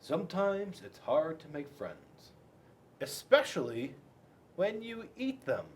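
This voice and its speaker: A man voicing a gentle, patient dinosaur father in a children's storybook, explaining things with fond exasperation and some hesitation. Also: a little high, sing-song, slow, slight background noise. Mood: patient advice.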